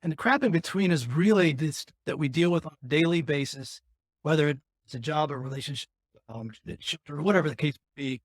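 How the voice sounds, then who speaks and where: A man with a raspy voice hosting a podcast, talking candidly and earnestly about his own life, rambling with frequent restarts. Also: on the high side, a little fast, no echo, clean studio sound. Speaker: podcast host. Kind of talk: candid personal talk.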